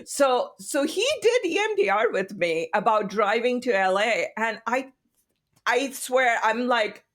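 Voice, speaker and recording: A woman's voice: on the high side, measured pace. A woman in her fifties from India, telling stories animatedly as a guest therapist on a casual podcast. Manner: animated storyteller, chatty and expressive.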